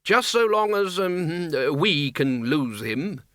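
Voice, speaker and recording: A man voicing a bossy head wizard with a blustering, snappish, loud manner in a storybook reading. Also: on the high side, very animated, slow, dry recording, clean studio sound, voice pushed up, full character voice. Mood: alarmed.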